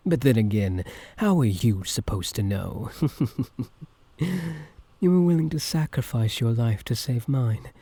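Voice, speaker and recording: A low, gravelly male yandere villain, acted by a man for an ASMR roleplay. He speaks slowly and softly, close and dry, teasing his captive with false gentleness and swinging freely in pitch. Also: animated, no echo. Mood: smug, musing. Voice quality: breathy, gravelly, dropping at times into whispers. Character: obsessive yandere supervillain, soft-spoken, taunting, infatuated with captive hero. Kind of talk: intimate ASMR roleplay monologue.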